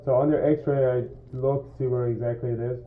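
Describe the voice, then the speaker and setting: A man who works as a doctor, from Iran, explains a treatment to a patient in a calm, reassuring way, full of fillers. His voice comes from across an echoey exam room. It sounds thin and phone-like, with faint hiss behind it. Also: mid-range voice, a little fast. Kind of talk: casual clinic consultation. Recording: distant echoey phone-like recording.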